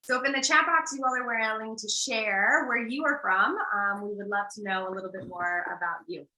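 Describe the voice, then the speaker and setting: A woman's voice: mid-range voice, a little fast, bright, forceful tone, roomy sound, rough recording. An American woman, a life coach from the United States, co-hosting an online workshop over a video call in an upbeat, reassuring way, laughing often.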